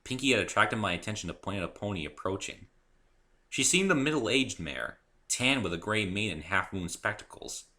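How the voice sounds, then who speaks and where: A man's voice: lowish voice, lively intonation, moderate pace, bright, forceful tone. A man reading a first-person story aloud as a dramatic narration. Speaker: amateur reader and author of the story.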